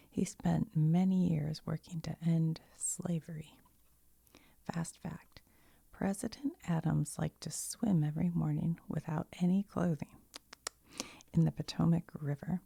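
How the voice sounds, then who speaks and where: A woman whispering softly, mostly unvoiced, while she sorts and reads cards with chatty, giggly asides. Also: low voice, creaky, husky. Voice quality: soft whisper, often unvoiced. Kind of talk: whispered ASMR card sorting.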